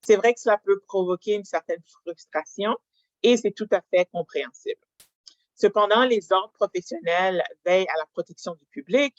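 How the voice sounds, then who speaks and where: A dry, muffled online recording of a webinar moderator. The delivery is formal and measured, hesitating often with drawn-out pauses, in a middle-pitched voice. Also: no echo. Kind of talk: formal presentation, introducing panelists. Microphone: video call. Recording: dull lo-fi online call audio.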